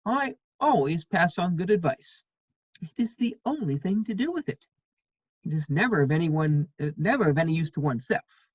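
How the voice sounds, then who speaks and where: A man plays a droll, idle lord in a period stage comedy. The voice is husky and lively in pitch, flippantly witty, on a dull lo-fi recording. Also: moderate pace, no room sound. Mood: droll, teasing. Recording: dull, dry lo-fi microphone.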